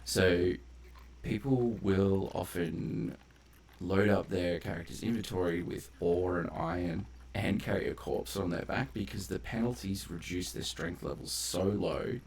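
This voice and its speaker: A man with a very low, gravelly voice talks through a game he is playing. His commentary is casual and unscripted, wandering into detailed explanations of how things work, with noticeable ambient noise behind him. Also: a little slow, darkish tone. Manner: rambling, tangential, explains game mechanics in detail. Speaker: game streamer and narrator of a playthrough.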